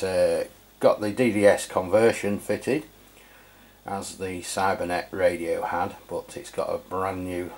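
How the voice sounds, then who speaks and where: A man's voice: low voice, vocal fry, darkish tone. A man giving an informal, matter-of-fact product review as a channel host.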